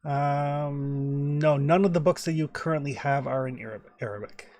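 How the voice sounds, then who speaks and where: A man's voice: fairly high pitch, steady tone, normal speed, a little mellow. A man acting as game master for a tabletop horror campaign, talking through rules and story in a loose, chatty way full of fillers and restarts over a home microphone.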